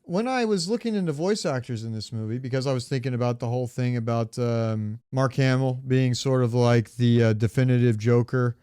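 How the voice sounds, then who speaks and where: A man's voice: mid-range voice, fairly quick, hardly any pauses, dark tone, smooth, no room sound, clean studio sound. A man co-hosting a podcast, chatting informally and with strong opinions about pop culture, swearing now and then. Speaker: podcast co-host. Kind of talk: casual pop-culture chat.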